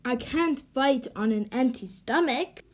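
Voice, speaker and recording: Girlish woman as high-pitched, cocky fairy-tale hero. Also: lively intonation, unhurried. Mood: cocky, teasing. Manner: teasing, boastful. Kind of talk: amateur radio drama acting.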